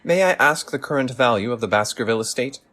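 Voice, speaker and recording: A man playing a shrewd, commanding gentleman detective in a radio play, formal, deliberate and probing. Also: medium pitch, animated, close to an everyday voice.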